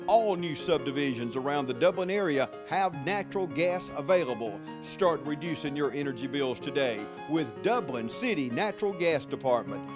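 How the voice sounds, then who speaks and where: A male announcer delivers scripted commercial copy in a slightly high voice. Music plays clearly behind him. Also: expressive, normal speed, hardly any pauses. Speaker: advertisement announcer. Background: music bed.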